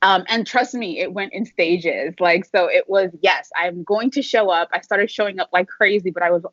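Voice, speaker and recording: A woman's voice: fairly low pitch, normal speed, fairly bright tone, no echo, muffled recording. A woman from Trinidad, a guest video coach, speaking candidly and laughing between thoughts. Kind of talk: casual interview chat.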